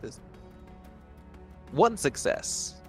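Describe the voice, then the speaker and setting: A man's voice: very slow, a little mellow, faint music behind. A man chatting casually as a player in a tabletop game, talking through his moves.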